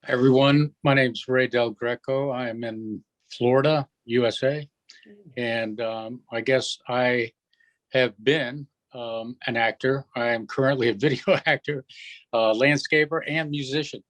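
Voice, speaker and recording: A man gives a casual introduction of himself on a video call. He talks slowly in a voice of medium pitch. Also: fairly even intonation, rough recording. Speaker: actor and musician.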